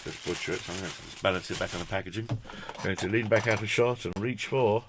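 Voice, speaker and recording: A man's voice: on the low side, fast, noisy background. A British man presenting a casual hands-on product review in a chatty, jokey, effusive way with quaint turns of phrase. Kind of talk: casual hands-on unboxing commentary.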